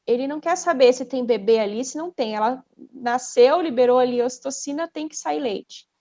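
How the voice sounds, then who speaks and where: High-voiced Brazilian woman explaining. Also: a little fast, dark tone. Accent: Brazilian Portuguese. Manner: didactic, poses questions then answers them. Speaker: speech therapist, podcast guest. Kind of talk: conversational expert explanation.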